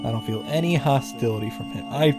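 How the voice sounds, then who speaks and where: A man's voice: mid-range voice, fast talker. A man reading visual novel narration aloud and reacting casually with blunt asides. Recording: clear music behind the voice.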